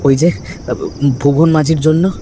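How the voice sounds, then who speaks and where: A man's voice: a little high, fairly even intonation, slow, background noise. A man playing a fearful village gossip in a ghost story, recounting rumours in an emphatic, conspiratorial way.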